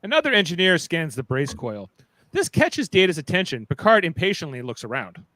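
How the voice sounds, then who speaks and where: A man's voice: a little high, sing-song, fairly quick, pushed and bright, no echo, rough recording. A man narrating stage directions in a playful, dramatic way for a live fan script reading.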